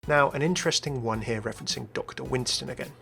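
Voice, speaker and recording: A man's voice: medium pitch, quick pace, creaky, bright, forceful tone, faint music behind. A man hosting a video game lore commentary, speculating aloud and speaking to the viewer in conversational asides. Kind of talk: scripted-sounding video commentary.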